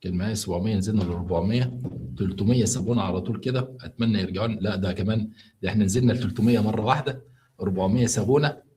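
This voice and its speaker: A tired man with an Egyptian Arabic accent tells a true story to viewers on a live stream, chatty and full of halting restarts as he explains things along the way. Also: fairly low pitch, warm tone.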